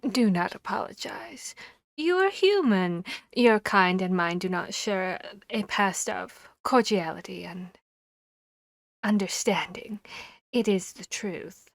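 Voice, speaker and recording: A harpy played by a woman in an ASMR audio roleplay, with a mid-pitched feminine voice recorded close and dry. She phrases things formally and deliberately, commanding yet courteous, with bashful little hesitations. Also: expressive, no echo. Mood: gentle, reassuring.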